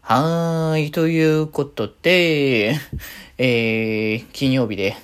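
A woman's voice: a bass voice, steady tone, takes it slowly, clean tone. A woman hosting a casual solo radio chat, rambling along in a chatty, giggly way. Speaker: solo podcast host and game streamer.

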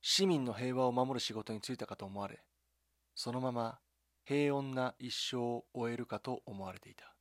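A man delivering scripted dramatic documentary narration in a measured, solemn storytelling manner, with short deliberate phrases. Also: mid-range voice, dry recording, studio quality. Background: background music.

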